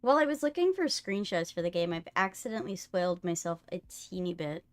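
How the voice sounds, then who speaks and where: A woman's voice: fairly low pitch, animated, a little fast. A woman chatting casually on a livestream, with a playful, teasing manner. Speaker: streamer hosting a co-op visual novel playthrough.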